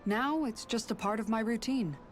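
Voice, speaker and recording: A woman voicing an earnest, idealistic young guardswoman in a video game, speaking sincerely and gently. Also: fairly high pitch, normal speed, natural voice. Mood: fond, earnest. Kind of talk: scripted video game dialogue. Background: game music.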